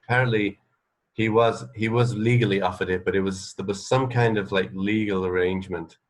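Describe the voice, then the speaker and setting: A man with a fairly low voice co-hosts a casual radio show. He reads out bits of trivia haltingly, pausing and restarting as he thinks aloud. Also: fairly even intonation. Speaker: radio co-host. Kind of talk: casual banter, reading out trivia.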